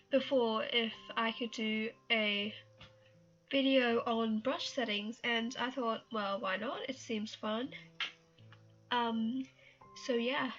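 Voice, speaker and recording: Young woman, cold-stuffed, rambling and self-deprecating, slightly high voice, muffled lo-fi mic. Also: sharp tone. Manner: rambling, self-conscious, laughs and apologizes often. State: has a cold. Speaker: art tutorial vlogger. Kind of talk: casual screen-recorded tutorial.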